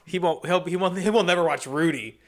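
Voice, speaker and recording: A man's voice: fairly high pitch, a little fast. An American man hosting a casual podcast, chatting and teasing with plenty of profanity. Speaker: podcast host. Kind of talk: casual chat, storytelling.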